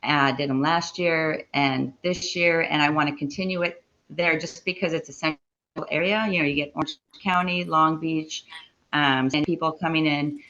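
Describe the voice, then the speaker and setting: A woman talking in a halting way with many fillers about hosting events. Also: deep voice, steady tone, a bit bright, lo-fi sound. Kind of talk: casual interview.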